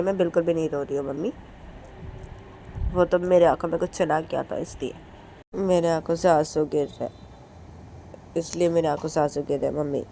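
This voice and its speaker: A woman voicing a young daughter who insists loudly and repeatedly that she is not crying. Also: deep voice, vocal fry, a little hiss or hum. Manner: insistent, pleading denials.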